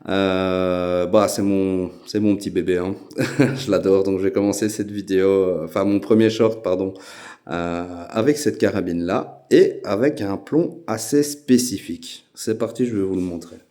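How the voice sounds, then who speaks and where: Belgian guy w/ belgian french accent, lowish mellow voice, rambling laid-back vlog talk full of ums. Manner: hesitant, many filler pauses. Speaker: YouTube channel host. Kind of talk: casual introductory vlog.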